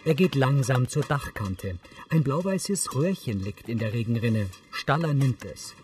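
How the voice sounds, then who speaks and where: A man's voice: mid-range voice, expressive, unhurried, recorded from a distance, noisy background. A man giving neutral, sober scripted audio description for a TV drama. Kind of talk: scripted narration, present tense.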